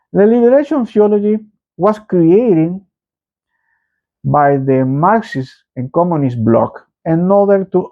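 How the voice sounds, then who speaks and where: A middle-aged man from El Salvador with a Salvadoran Spanish accent gives opinionated political commentary in an emphatic, halting way. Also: a little high. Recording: dull lo-fi microphone sound.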